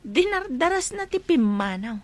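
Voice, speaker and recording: A woman's voice: sits high, big pitch swings, normal speed. An actress playing an indignant young woman who confronts an elder in a radio drama. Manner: accusing, indignant. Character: indignant young woman defending her friend.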